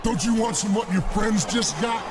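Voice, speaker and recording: A man voicing a brash wrestler who shouts taunts at a fleeing rival in a video game cutscene. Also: high voice, normal speed, natural voice. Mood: taunting. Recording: noisy, slightly distant game audio.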